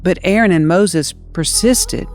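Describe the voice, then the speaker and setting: A woman narrating scripture as an audio Bible reader, in an expressive, measured storytelling style. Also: fairly low pitch, faint music behind.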